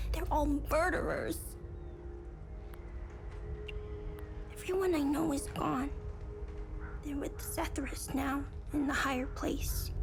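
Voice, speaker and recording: A woman playing a frightened, grieving young orphan girl in a game cutscene, speaking in breathy, halting, childlike phrases. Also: sits high. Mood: bitter grief. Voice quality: breathy, often whispering. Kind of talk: dramatic game cutscene monologue.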